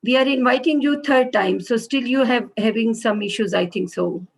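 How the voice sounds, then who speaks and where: A woman's voice: on the high side, moderate pace, vocal fry, slightly rough. Polite woman hosting a video call. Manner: polite, many hesitations and fillers. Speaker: meeting moderator. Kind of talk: hosting an online meeting.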